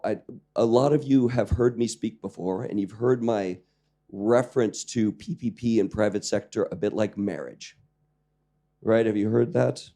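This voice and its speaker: A man with a croaky voice giving a lecture to a training class, joking and questioning the audience while clearing his throat. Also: mid-range voice, dark tone. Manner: jokey, engaging the audience with questions. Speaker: lecturer on public-private partnerships. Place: lecture room with live audience.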